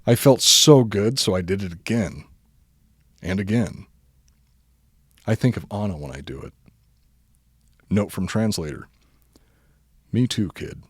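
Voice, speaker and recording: A man reading a comic bedtime story aloud as a podcast host, mostly deadpan but prone to giggling. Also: lowish voice, a little slow, pauses often, slightly rough, dry recording, studio quality.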